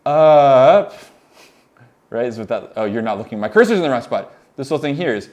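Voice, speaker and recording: A young man with a Californian accent walks through audio software on a live stream. He talks in an excitable, rambling way, full of "like" and little laughs, and his pitch jumps around a lot. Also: fairly quick, highs cut off. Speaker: audio mentor.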